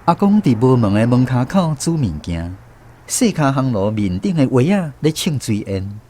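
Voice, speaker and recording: A man's voice: mid-range voice, moderate pace. A man with a Taiwanese accent narrating a radio drama as a grown grandson fondly recalling his grandfather. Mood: reflective. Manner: expressive, reminiscing storytelling.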